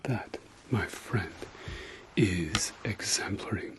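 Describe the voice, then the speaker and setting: A man whispering softly through an ASMR eye-exam roleplay, repeating gentle praise and soothing words. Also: lowish voice, noisy background. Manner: slow soft whisper, repetitive soothing praise. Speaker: ASMR creator.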